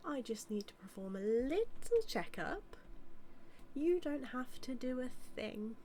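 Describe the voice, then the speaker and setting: A woman's voice: a little high, slight background noise, heavily acted. A woman playing a motherly mad scientist villain with a British accent, speaking fondly and theatrically to her monster in an intimate audio roleplay. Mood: fussing, fond. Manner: fond, theatrical, musing, softly dipping into breathy asides. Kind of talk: scripted roleplay audio, intimate monologue to listener.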